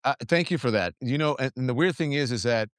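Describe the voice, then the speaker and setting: A man's voice: medium pitch, fast talker, clear, resonant tone, no echo, clean studio sound. A man hosting his own show, talking earnestly and reflectively in casual conversation, with many fillers and restarts. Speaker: podcast host.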